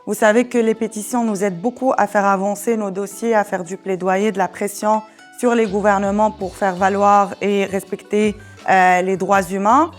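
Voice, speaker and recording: A woman gives an explanatory presentation as an educator, measured and a little hesitant. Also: mid-range voice, fairly even intonation, soft background music. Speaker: human rights education coordinator and presenter.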